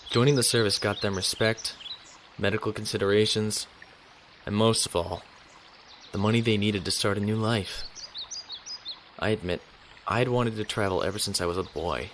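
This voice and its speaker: A man's voice: on the low side, fairly even intonation, normal speed, a bit bright, a little hiss or hum, lightly acted. A man playing a conflicted, honorable young naval officer in a sci-fi audio drama, earnest and brooding.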